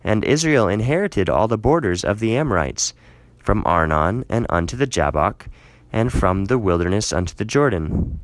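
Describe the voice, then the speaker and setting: A man narrating scripture aloud in a measured, dramatic reading style, phrasing deliberately. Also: on the low side, expressive, dry recording.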